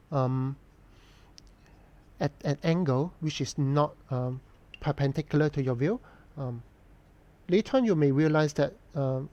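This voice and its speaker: A man, chatting casually to viewers while he draws on a live stream, answering questions in an unhurried, thoughtful way with frequent pauses. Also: on the high side, dark tone, highs cut off. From Hong Kong. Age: 40s. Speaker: artist live-streaming a drawing session.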